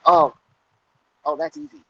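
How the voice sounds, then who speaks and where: A man co-hosting a casual wrestling podcast who talks in halting restarts and drifts into jokes. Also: no echo, highs cut off. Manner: hesitant, many restarts, joking. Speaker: podcast co-host. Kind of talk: casual chat.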